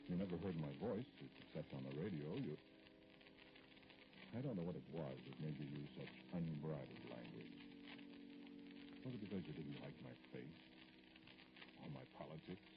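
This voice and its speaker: An actor playing an aggrieved, dignified politician in an old radio drama, speaking formally and hesitantly in a gravelly voice. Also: male voice, animated, over music, full character voice. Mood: wounded, indignant. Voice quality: very deep, gravelly. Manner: hesitant, formal, pointed, with pauses. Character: aggrieved middle-aged male politician, dignified, brooding, addressing a caller. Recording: noisy, dull vintage radio recording.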